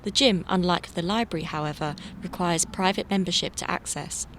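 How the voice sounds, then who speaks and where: Female news voice-over, low register, measured read, faint hiss behind. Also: fairly bright tone. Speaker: news reporter. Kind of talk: scripted news narration.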